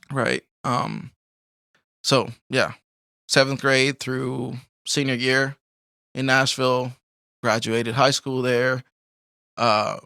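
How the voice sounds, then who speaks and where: A young man from Colombia with a gravelly, fry-laced voice that still sounds bright and forward. He tells his story in a loose, rambling way, full of "like" and "you know," and laughs easily. The sound is dry and close. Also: medium pitch, no echo. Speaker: podcast guest. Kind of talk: casual life-story interview.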